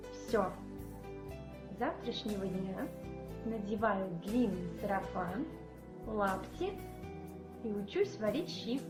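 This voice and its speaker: An actress playing a loving, teasing wife who can turn strict, in a radio play. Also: female voice, mid-range voice. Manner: tender, teasing, mock-stern. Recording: roomy echo with music behind.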